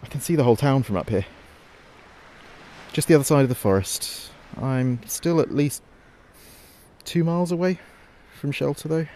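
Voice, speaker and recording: A man acting as a radio DJ in an audio drama, reporting a disaster live in a halting, anxious, fearful way. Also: a little high, warm tone, a little hiss or hum, natural voice. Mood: wary, weary.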